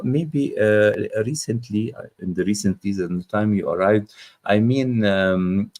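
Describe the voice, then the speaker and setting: A middle-aged man interviewing a guest, speaking haltingly with many hesitations. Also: lowish voice, darkish tone. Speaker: interviewer, host. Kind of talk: casual interview conversation.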